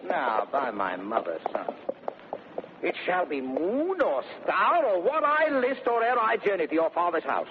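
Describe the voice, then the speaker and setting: An actor playing a domineering, mocking husband in theatrical, commanding 1930s radio Shakespeare style. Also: male voice, fairly high pitch, wildly swooping pitch, unhurried, exaggerated voice. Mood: overbearing. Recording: narrow, noisy vintage 1930s radio recording.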